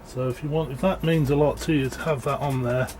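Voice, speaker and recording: A man giving a casual, unscripted equipment demonstration in a matter-of-fact, halting way. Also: mid-range voice, steady tone, a little mellow. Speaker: presenter demonstrating audio equipment. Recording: clearly noisy amateur room recording.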